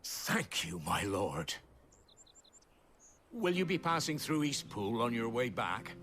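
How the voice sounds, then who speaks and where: A man's voice: mid-range voice, very animated, slow, a bit bright, slight background noise, exaggerated voice. A man acting a weary, deferential villager in a video game, with an earnest and careworn manner. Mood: respectful.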